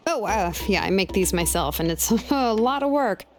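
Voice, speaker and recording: A woman playing an easygoing young female blacksmith in a video game, with a relaxed, wry way of talking. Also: mid-range voice, normal speed, close to an everyday voice. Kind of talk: video game character acting. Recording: game music behind. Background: game music.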